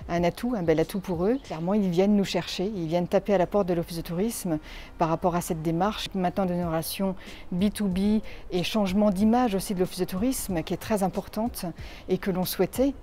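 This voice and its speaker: A woman's voice: medium pitch, dark tone, a little hiss or hum. A woman giving explanatory interview answers as a tourist office representative, searching for her words as she goes.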